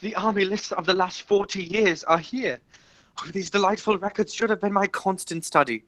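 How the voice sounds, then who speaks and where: A performer playing an earnest, romantic young English gentleman, declaiming in a radio play of a stage comedy. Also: medium pitch, normal speed, no echo, lightly acted. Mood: excited, searching.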